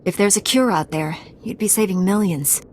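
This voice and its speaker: An actress playing a Jedi knight in a video game, calm, resolute and terse. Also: female voice, medium pitch, normal speed, slight background noise, natural voice. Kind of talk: game cutscene acting.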